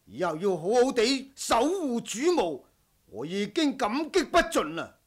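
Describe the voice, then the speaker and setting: A man's voice: very high-pitched, wildly swooping pitch, a little slow, slightly rough, full character voice. A man acting a proud, honourable martial-arts household master in a Cantonese radio drama, speaking in formal, stern, old-fashioned heroic language. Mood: furious, commanding.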